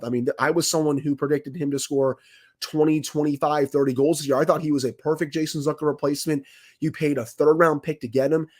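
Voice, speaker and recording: A man on a sports podcast talks through a cold, his fairly high voice a little raspy. He gives strong opinions packed with statistics, then hedges them carefully. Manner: opinionated, careful hedging, stats-heavy. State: has a cold, slightly raspy. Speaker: podcast co-host, hockey analyst. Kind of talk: casual sports podcast talk.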